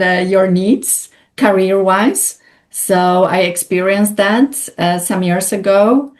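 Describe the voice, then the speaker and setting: A woman's voice: lowish voice, a little mellow. A woman from Poland, speaking as an interview guest in a hesitant way with many filler sounds. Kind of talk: conversational interview.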